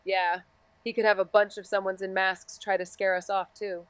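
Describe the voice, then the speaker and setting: A woman's voice: mid-range voice, level tone, normal speed, clean tone, no echo, lightly acted. A woman acting a wry, profane young amateur detective in a noir audio drama, moving between casual banter and narration. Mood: intrigued, wry.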